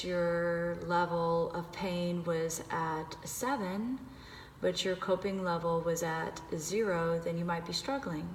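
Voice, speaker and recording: Woman, lowish voice, meditation guide, gentle unhurried instruction, pauses, very echoey recording. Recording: echoey reverberant room with background noise.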